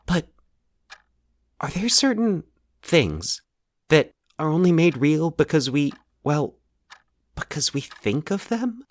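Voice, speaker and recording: A man acting a troubled, anxious man in a fiction podcast, confiding his nightmares haltingly and with dramatic pauses. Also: fairly high pitch, very animated, no echo, clean studio sound, voice pushed up. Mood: pleading, anxious. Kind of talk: dramatic audio fiction monologue.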